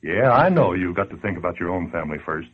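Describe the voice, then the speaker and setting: A man's voice: low voice, lively intonation, fast talker, breathy edge. An actor playing a tough, slangy man who scolds listeners bluntly in 1940s radio style. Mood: blunt, urging. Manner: blunt, sardonic, colloquial. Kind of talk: 1940s radio acting, direct address to listener. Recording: dull lo-fi 1940s radio recording.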